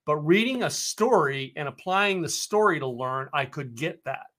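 Bright-voiced rambling American man. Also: a little high, lively intonation, moderate pace. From United States. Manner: rambling, many fillers and restarts. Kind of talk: casual conversation.

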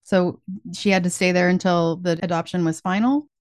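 A woman's voice: lowish voice, fairly even intonation, moderate pace, clean tone, no echo, studio quality. A woman hosting her podcast, reading a friendly scripted introduction and then asking interview questions. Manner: friendly, scripted introductions, brief encouraging replies. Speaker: podcast host.

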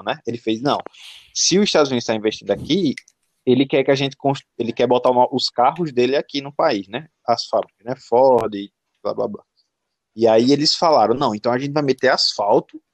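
A Brazilian man talks through his ideas in loose, rambling chat. He often restarts sentences and leans on filler words. His voice sits at a middle pitch, and the recording is dry and close. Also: no room sound. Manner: rambling, thinks aloud with restarts and frequent "né". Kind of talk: casual chat.

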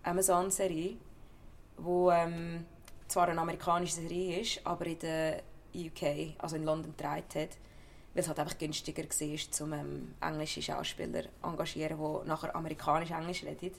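Young swiss german actress, low-ish voice with lots of fry, chatty and lively. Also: female voice, normal speed, a little hiss or hum. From Switzerland. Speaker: actress, interview guest. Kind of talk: casual interview chat.

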